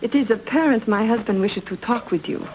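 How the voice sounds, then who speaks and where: An actress playing a poised, coolly flirtatious Latin American wife in a noir radio drama, speaking formally. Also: female voice, on the high side, darkish tone, a little hiss or hum, close to an everyday voice, low-quality recording. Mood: cool intrigue. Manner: formal, measured.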